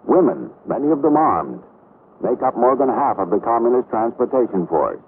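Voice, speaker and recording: An American man reading a scripted 1960s military training film narration in a formal, authoritative, measured way. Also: medium pitch, big pitch swings. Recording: muffled, noisy vintage film soundtrack.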